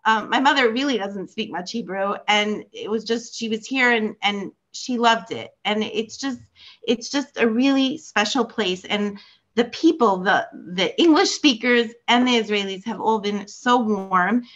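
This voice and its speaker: Older Philadelphia woman, bright lo-fi call. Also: fairly high pitch, unhurried. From United States. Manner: rambling, warm storytelling with many fillers and restarts. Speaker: guest on a video call. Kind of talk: casual chat. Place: home room with bookshelves. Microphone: video call. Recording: lo-fi video call audio.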